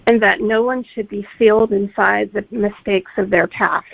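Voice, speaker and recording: A woman's voice: mid-range voice, steady tone, moderate pace, gravelly, no room sound. A woman speaking as a guest author, answering interview questions conversationally. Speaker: guest author discussing her book. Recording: narrow phone-like line, dry.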